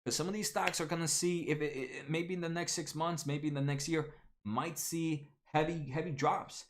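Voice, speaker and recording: A man's voice: fairly high pitch, fairly even intonation. Chatty young man hosting a livestream. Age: 20s. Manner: halting, many fillers and restarts, addresses viewers by name. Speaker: stock market livestream host. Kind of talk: casual livestream chat reading viewer comments.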